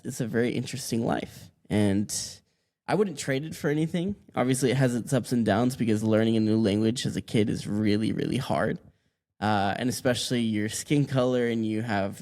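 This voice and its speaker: A man in his twenties who grew up in Mexico, telling his story as a podcast guest in a relaxed, rambling way with many restarts. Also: on the low side, moderate pace. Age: 20s. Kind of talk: casual interview answers.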